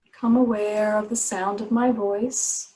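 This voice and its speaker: Female meditation guide, medium pitch, soothing and unhurried, pausing between short instructions. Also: level tone, warm tone, low-quality recording. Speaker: yoga nidra meditation teacher.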